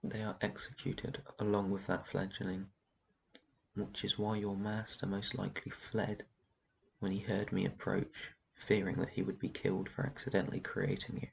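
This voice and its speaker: An ancient vampire master, acted out by a man, with a low voice full of gravelly creak. He speaks in a gentle, protective and soothing way, as in an intimate roleplay ASMR. His delivery stays very even, with slight noise behind it. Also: moderate pace, low-quality recording. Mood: grave, explanatory. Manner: soft shushing, reassuring, tender endearments. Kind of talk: roleplay ASMR, intimate one-sided dialogue.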